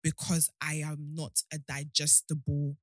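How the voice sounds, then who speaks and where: A woman's voice: low voice, completely flat delivery, a little slow, dry recording, studio quality. A young woman, talking openly and outspokenly as a guest on a podcast, often jumping from one point to the next. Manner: candid, outspoken, jumps between points. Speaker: guest, show host. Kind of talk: casual podcast chat.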